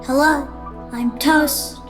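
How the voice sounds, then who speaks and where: A man's voice: very high-pitched, fairly even intonation, fairly bright tone, exaggerated voice. A man voicing a tiny, eager, polite toaster robot in an audio story, with a small synthetic-sounding voice. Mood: cheerful, eager. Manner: slow, repetitive, polite greeting delivery. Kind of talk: scripted audio fiction character voice. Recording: music behind the voice.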